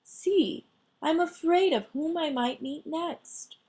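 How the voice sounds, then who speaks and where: A dainty young cockroach lady from a storybook, performed by a woman. She is proud and prim, with a dry, ladylike delivery. Her words come unhurried. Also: high voice, darkish tone, pitched above the performer's own voice. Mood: fretful, smitten.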